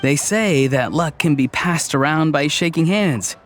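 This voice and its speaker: A man's voice: a little high, measured pace, soft background music, natural voice. An actor playing a playful, probing young man in a video game, wry and teasing with a friendly touch.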